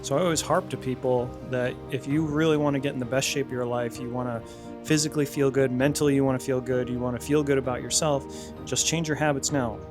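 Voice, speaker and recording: A man's voice: medium pitch, steady tone, quick pace, over music. A man in his thirties, a fitness coach, talking casually and bluntly to camera with plenty of energy and the odd swear word. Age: 30s. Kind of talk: casual direct-to-camera talk.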